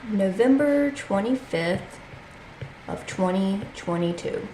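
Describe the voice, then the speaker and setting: A woman's voice: medium pitch, animated, takes it slowly, warm tone, roomy sound, slight background noise, rough recording. A congested woman hosting her own channel, chatting casually as she walks through her budget and reads out figures.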